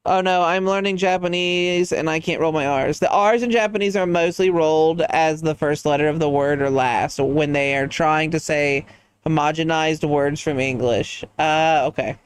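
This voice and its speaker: A fairly high-voiced man with a smooth, resonant tone, chatting casually while he plays. He rambles between topics and answers viewers in goofy asides. The sound is dry and close, with no room around it. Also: fairly even intonation, moderate pace, no room sound. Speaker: streamer. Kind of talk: casual chat while gaming.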